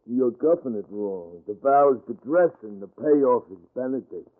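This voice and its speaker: A man's voice: mid-range voice, animated, unhurried, lightly acted. Man playing a jittery slang-talking crook, tinny old radio. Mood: nervous, insistent. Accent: American. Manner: agitated, slangy, stammering. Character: jittery small-time male crook talking thick underworld slang. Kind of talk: 1940s American radio acting. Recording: thin, band-limited 1940s radio recording.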